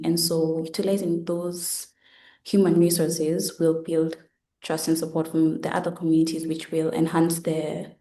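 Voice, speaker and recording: Low-voiced young woman, echoey. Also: warm tone. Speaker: student presenter. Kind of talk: semi-prepared project presentation. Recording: roomy, echoing online call.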